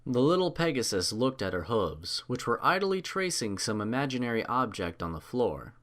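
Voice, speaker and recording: A male narrator reads fan fiction aloud. His mid-pitched voice is highly animated and varies a lot, in an expressive storytelling style. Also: normal speed.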